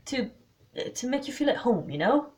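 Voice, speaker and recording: A woman's voice: fairly high pitch, very animated, normal speed, darkish tone, voice pushed up. A woman voicing an awkward, apologetic English schoolboy prankster with a hesitant manner, in a story reading. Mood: uneasy, coaxing. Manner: faltering, awkward.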